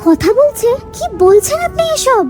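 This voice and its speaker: Woman acting a shrill, anxious village woman. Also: very animated, a little slow, music in the background, exaggerated voice. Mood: worried. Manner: anxious, questioning. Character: distressed village woman, worried about a lost loved one. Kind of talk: cartoon story acting.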